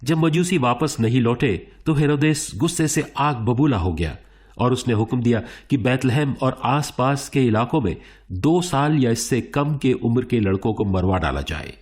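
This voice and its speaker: Showy male actor as a quiz show host, animated. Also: mid-range voice, moderate pace, close to an everyday voice. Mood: mock regretful. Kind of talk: radio quiz show acting in Urdu. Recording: music under the voice. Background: music.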